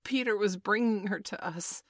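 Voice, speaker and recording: Anxious, awestruck storybook boy female reader, exclamatory, raised pitch. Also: normal speed, dry recording, studio quality, natural voice. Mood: sorrowful. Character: excitable band of young boys, anxious and awestruck.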